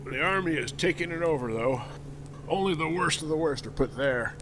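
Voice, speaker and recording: A man's voice: fairly high pitch, lively intonation, moderate pace, lightly acted. A man playing a crude, menacing militia captain with a smarmy, folksy drawl in an amateur audio drama. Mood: casual, callous. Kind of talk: audio drama script reading. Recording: noisy home recording.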